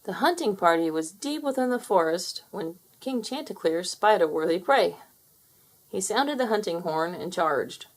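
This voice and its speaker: A woman reading a children's picture book aloud in an expressive storytelling manner. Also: fairly low pitch, normal speed. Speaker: storybook reader. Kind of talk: children's read-aloud narration.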